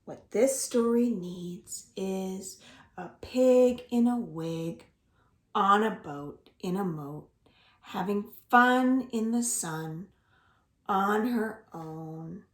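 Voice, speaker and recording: A woman hosting a children's storytime from home, reading aloud expressively with deliberate, dramatic emphasis for young listeners. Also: mid-range voice. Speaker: library storytime host. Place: indoors at home. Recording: roomy, echoing home recording.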